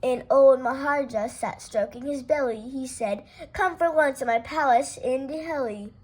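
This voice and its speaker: A woman reading a rhyming children's picture book aloud with playful, expressive delivery. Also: high-pitched, husky. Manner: unhurried, expressive read-aloud with playful character voices. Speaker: storytime reader.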